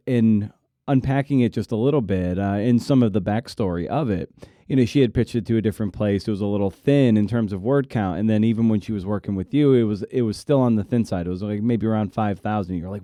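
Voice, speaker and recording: A man's voice: fairly low pitch, fairly quick, dark tone, no echo, studio quality. A man hosting a podcast in a conversational style. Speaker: podcast host.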